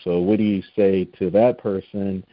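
A man with a deep voice hosting an interview, asking measured, thoughtful questions. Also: steady tone, no room sound. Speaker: interview host. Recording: dry, slightly dull lo-fi audio.

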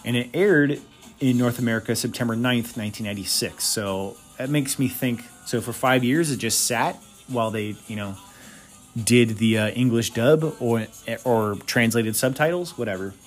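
A man's voice: medium pitch, normal speed, soft background music. A man hosting a solo podcast, giving blunt, opinionated reviews with crude humor. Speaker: podcast host.

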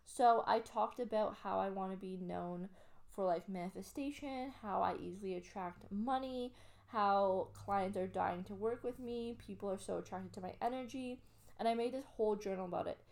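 A woman's voice: mid-range voice, moderate pace, vocal fry, a little mellow. A young adult woman from Canada, chatting casually and upbeat as a podcast co-host. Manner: chatty, upbeat, lots of fillers. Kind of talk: casual chat.

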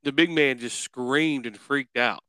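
Bright, clear male podcast interviewer. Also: mid-range voice, unhurried, no echo. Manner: rambling, circles around his question. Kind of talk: casual interview chat.

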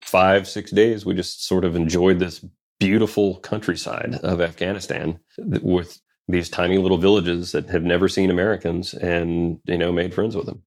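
A man's voice: sits low, level tone, normal speed, darkish tone. A man telling stories from his Special Forces service in a casual interview, rambling with many fillers and restarts. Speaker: guest, Special Forces veteran.

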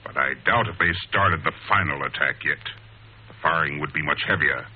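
An actor playing a scornful, grandiose criminal mastermind in a 1940s radio serial, with theatrical delivery. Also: male voice, very deep voice, moderate pace, husky. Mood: scornful, scheming. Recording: tinny, hissy old radio broadcast.

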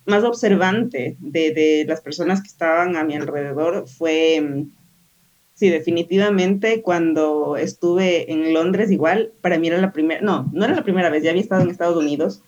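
A woman's voice: on the low side, moderate pace, a little mellow. Woman guest from Ecuador, rambling and hesitant, on a dull lo-fi recording. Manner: rambling, hesitant with many fillers. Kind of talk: casual conversation.

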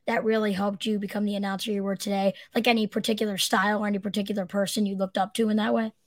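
A woman's voice: medium pitch, level tone, fast talker, no echo. Female podcast interviewer, mid-range voice, casual question-asking delivery, dry recording.

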